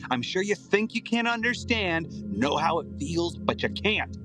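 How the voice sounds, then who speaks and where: Bitter, sarcastic younger-generation brother. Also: male voice, a little high, sing-song, measured pace, over music. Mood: defensive, weary. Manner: sarcastic, defensive, blunt. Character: bitter, sarcastic recovering addict, resentful adult brother. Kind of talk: audio drama acting.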